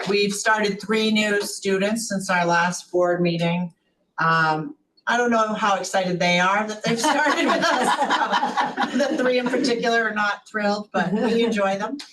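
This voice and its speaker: A woman gives a slow, relaxed program update at a board meeting, with fillers between thoughts. Her voice sits at a middle pitch and comes from across a meeting room, with faint noise around it. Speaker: program staff. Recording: distant mic with faint noise.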